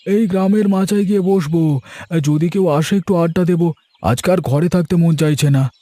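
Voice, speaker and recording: A man's voice: on the high side, moderate pace, dark tone, no room sound, pitched above the performer's own voice, close to an everyday voice. A man voicing a chatty, easygoing village vegetable seller in a cartoon story. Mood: friendly. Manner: eager, sociable. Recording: music bed behind.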